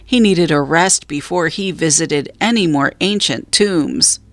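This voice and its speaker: Slow-paced woman reading a children's story. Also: sits low, fairly bright tone, dry recording. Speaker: storybook reader. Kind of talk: scripted children's story narration, read aloud.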